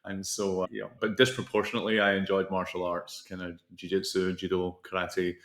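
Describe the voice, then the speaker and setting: A man's voice: deep voice, little pitch movement, brisk, a bit bright. A middle-aged man, a company founder being interviewed, explaining things in long, flowing runs. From Scotland. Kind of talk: casual interview storytelling. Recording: roomy echo.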